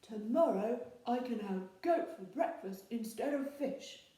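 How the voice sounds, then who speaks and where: A woman's voice: a little high, animated, a little slow, dark tone, distant mic, slight background noise, voice pushed up. A woman voicing a timid, hungry troll. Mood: scheming. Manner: small-voiced, anxious storybook voice. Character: hungry, timid male troll who cooks. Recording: very echoey room.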